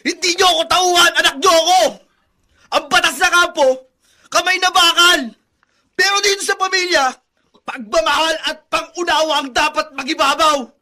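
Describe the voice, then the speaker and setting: An actor playing a defiant, anguished young Filipino son in a radio drama, impassioned and pleading. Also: male voice, very high-pitched, fairly even intonation, normal speed, sharp tone, heavily acted. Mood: impassioned protest. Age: young adult.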